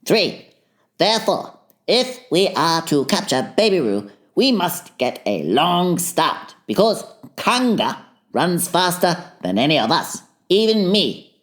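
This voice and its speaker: A man's voice: high voice, very animated, slow, a bit bright, voice pushed up, full character voice. A man voicing a bossy, self-assured rabbit who lays out plans in an orderly way, in a dramatized storybook. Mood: officious, proud.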